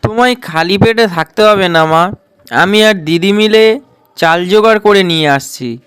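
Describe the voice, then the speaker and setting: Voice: medium pitch, measured pace, fairly bright tone, clear, resonant tone, no echo, studio quality, close to an everyday voice. A performer voicing an earnest, eager young village boy in a cartoon, with simple childlike delivery. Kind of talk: cartoon dialogue.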